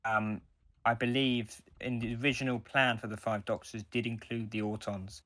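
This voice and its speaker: A man's voice: medium pitch, level tone, fairly bright tone. A man co-hosting a podcast, chatting enthusiastically as a fan and often doubling back on his words. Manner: enthusiastic, halting with repeated words. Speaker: podcast co-host. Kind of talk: casual fan discussion.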